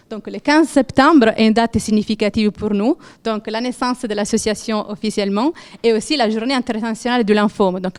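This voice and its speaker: A middle-aged woman gives an earnest, halting presentation to an audience, with many fillers and restarts. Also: on the high side, clear, resonant tone. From Italy. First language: Italian. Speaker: patient association co-founder presenting.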